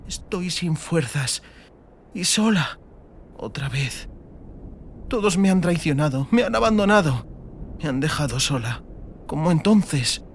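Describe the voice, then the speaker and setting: A man voicing an exhausted, determined young girl's inner thoughts in a dramatized audiobook, in a hushed dramatic delivery. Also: on the high side, animated, a little slow, long pauses, a little hiss or hum. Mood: bitter despair.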